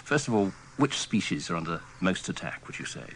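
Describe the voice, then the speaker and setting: A man's voice: low-pitched, expressive, fairly quick, a bit bright. A man presenting scripted introductions on a radio news programme. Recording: faintly noisy archive radio recording.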